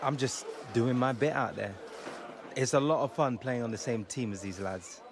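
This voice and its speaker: A young man acted by a man plays a modest, easygoing footballer in a sports game story. His mid-pitched voice sits over clear background ambience. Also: moderate pace, a crowd in the background, background noise, natural voice. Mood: humble, pleased. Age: young adult. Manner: humble, easygoing. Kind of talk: video game cutscene dialogue.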